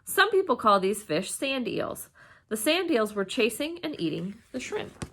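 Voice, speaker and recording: Female storybook reader, slightly high pitch, swooping expressive delivery, dramatic emphasis for young listeners. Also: a little slow, a little hiss or hum. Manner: dramatic, with emphatic exclamations and hushed suspense. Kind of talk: expressive children's read-aloud narration.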